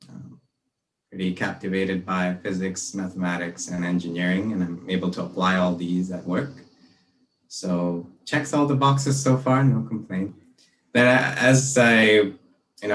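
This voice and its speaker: A young man from Malaysia and Brunei, a podcast guest talking earnestly and reflectively about his work. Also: low-pitched. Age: young adult. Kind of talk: casual interview. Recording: roomy audio from a distance.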